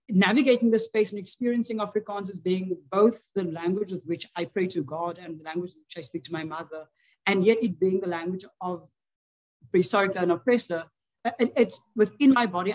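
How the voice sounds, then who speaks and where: Brief: South African woman, lowish voice, earnest panelist, stumbles and restarts. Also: rough recording. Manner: earnest, many restarts and repeated words. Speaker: seminar fellow. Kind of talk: academic panel discussion.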